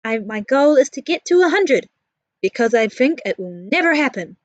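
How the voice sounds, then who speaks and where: A woman's voice: high voice, big pitch swings, normal speed, no echo, muffled recording. A woman with a New Zealand accent giving a casual, chatty voice-over for a gaming build vlog, candid and self-deprecating. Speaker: video creator, narrator.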